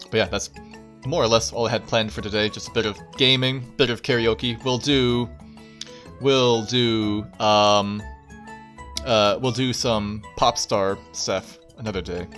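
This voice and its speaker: A man's voice: on the low side, fairly even intonation, normal speed, fairly bright tone. A young man hosting a livestream, chatting casually in a self-deprecating way and often repeating his phrases. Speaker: VTuber livestream host. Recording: background music. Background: music.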